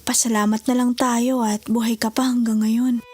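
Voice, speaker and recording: A woman's voice: fairly high pitch, little pitch movement, moderate pace, close to an everyday voice. A woman playing a caring, worried Filipino wife and mother in an emotional family drama. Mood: soothing.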